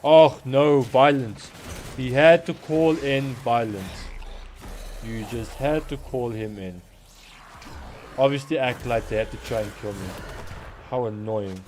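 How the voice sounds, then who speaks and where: A man's voice: mid-range voice, measured pace, long pauses, darkish tone, a little hiss or hum. Twenties male streamer, mixed South African-Turkish accent, rambling chatty delivery. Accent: mix of South African and Turkish. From born in Turkey, raised in South Africa. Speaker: game streamer. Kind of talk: casual live-stream gaming chat.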